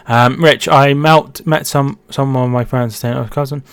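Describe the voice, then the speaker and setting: Brief: man, stream host, chatty and halting, dry close sound, middling pitch. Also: steady tone, smooth, no room sound. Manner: chatty, repeats words and restarts while reading chat. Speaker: streamer hosting a football watch-along. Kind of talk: casual live-stream chat with commentary.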